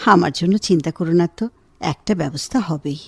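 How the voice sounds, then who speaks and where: A woman playing an affectionate, gently insistent wife in a radio drama, with an expressive, tender delivery. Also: lowish voice, fairly quick, husky, no room sound. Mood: resigned, tender. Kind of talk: Bengali radio drama acting.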